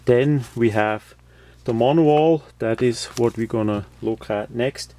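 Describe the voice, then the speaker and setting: A man giving a casual, unscripted product walkthrough, explaining things haltingly with many uhs and repeated words. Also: mid-range voice, dark tone. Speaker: host of product unboxing videos.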